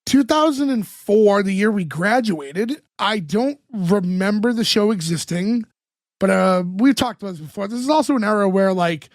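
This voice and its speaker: Brief: podcast co-host, man, high voice, dry close sound, chatty and filler-heavy, voice getting worn. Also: expressive, moderate pace, no echo. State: losing his voice. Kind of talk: casual chat, recapping a TV episode.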